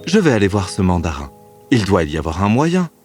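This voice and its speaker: A man's voice: low voice, big pitch swings, fairly quick, a little mellow. A man playing an earnest, determined young man in love in a fairy tale, with music behind him. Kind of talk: dramatic fairy tale acting.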